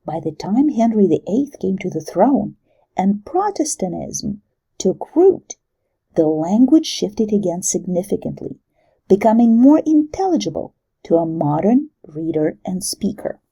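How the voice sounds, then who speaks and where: A woman lecturer giving a recorded university lecture in a measured way, stressing key terms. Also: medium pitch, very animated, gravelly, warm tone, breathy edge.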